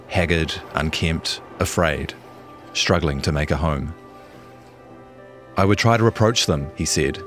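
Kiwi guy reporter, very low voice, bit of music in it. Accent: New Zealand. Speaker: journalist.

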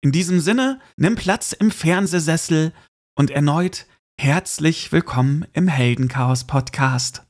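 Creaky, higher-pitched man podcasting. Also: measured pace. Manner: chatty, informal, addresses listener directly. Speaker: podcast host. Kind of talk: casual solo podcast monologue with gift tips.

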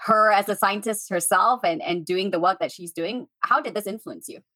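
A woman's voice: mid-range voice, moderate pace, bright, forceful tone, rough recording. A woman hosting a podcast, introducing and interviewing in a presenter's style. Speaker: podcast host.

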